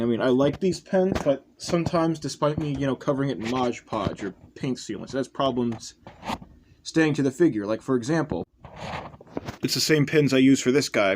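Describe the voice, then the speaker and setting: A young man chatting casually and offhandedly as he shows off a hobby project, rambling and self-deprecating. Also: medium pitch. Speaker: host of a toy customizing channel. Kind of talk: casual hobby showcase chat. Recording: clearly noisy homemade recording.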